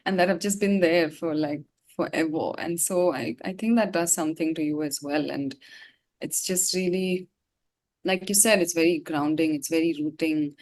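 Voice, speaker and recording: A woman from Gangtok, India, speaks in a slightly low-pitched voice. She chats casually as an interview guest, rambling a little in an informal, reflective way. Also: darkish tone.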